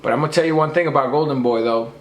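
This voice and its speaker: A man's voice: medium pitch, rapid-fire, reverberant. A man losing his voice, ranting emphatically about boxing and lecturing his viewers. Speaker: boxing commentator. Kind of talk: opinionated rant to camera.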